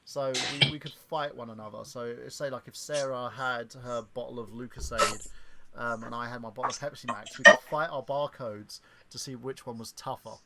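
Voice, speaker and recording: A man in his thirties hosting a livestream, chatting casually in a halting way with lots of fillers and restarts. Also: medium pitch, fairly even intonation, creaky, crowd noise. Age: 30s. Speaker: stream host.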